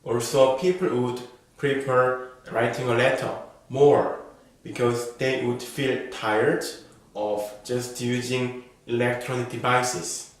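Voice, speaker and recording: Slow, careful man in an echoey room. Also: medium pitch, level tone. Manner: slow, careful, model-answer delivery. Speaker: IELTS speaking teacher. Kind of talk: reading sample exam answers as a lesson.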